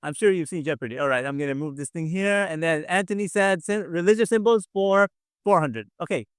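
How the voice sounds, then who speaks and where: Higher-voiced man hosting an online quiz. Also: brisk, clear, resonant tone, no echo, clean studio sound. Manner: encouraging, repeats himself. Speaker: quiz show host. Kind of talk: casual game show hosting. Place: online video call.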